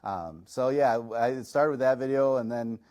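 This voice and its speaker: A middle-aged man, a chiropractor, answering interview questions in a relaxed, self-deprecating, chatty way. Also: medium pitch, normal speed, clear, resonant tone. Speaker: chiropractor and massage chair reviewer. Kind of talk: casual podcast interview answers.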